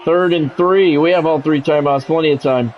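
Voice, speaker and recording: A man's voice: on the high side, moderate pace, muffled recording, slight background noise. A man doing play-by-play of his own football video game in short, blunt phrases, mixed with casual gamer talk.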